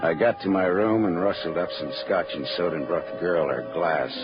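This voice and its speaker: An actor with an American accent playing a tough, wisecracking private detective in a clipped, wry 1940s radio noir style. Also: male voice, low voice, brisk, lightly acted. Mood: wry, tense narration. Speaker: lead actor and narrator. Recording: muffled old radio recording with music.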